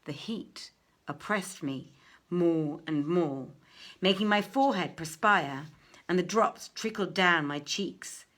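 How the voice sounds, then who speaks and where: A woman reading an old-fashioned tale aloud as a storyteller, expressively, with deliberate phrasing and dramatic pauses. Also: on the low side, fairly bright tone.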